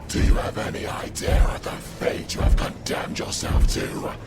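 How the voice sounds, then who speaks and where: A man's voice: normal speed, natural voice. A man voicing a menacing, wrathful gargoyle chieftain in a fantasy audio drama, with a growling monster voice and bombastic, threatening archaic speech. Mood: scornful fury. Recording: loud music behind the voice. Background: loud music.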